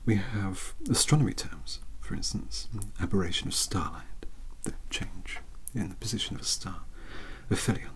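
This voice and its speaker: A British man playing a younger, bookish and polite vampire-hunter mentor in a soft-spoken ASMR roleplay, hesitant, wordy and easily distracted. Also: deep voice, normal speed, rough recording. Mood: scholarly, rambling. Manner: hesitant, apologetic, wordy, scholarly.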